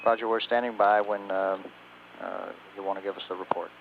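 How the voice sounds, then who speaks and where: A man's voice: fairly low pitch, little pitch movement, a little fast. An American man working as a mission control communicator, speaking in procedural radio calls over a two-way radio link. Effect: two-way radio transmission. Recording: tinny, noisy 1980s space radio link.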